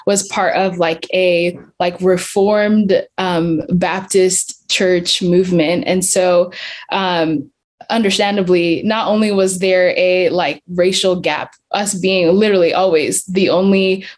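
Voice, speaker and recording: A woman's voice: fairly low pitch, steady tone, slow, darkish tone. Young American-accented woman, earnest guest. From England. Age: young adult. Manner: earnest, heavy use of "like" and "um". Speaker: podcast guest. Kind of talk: reflective interview talk.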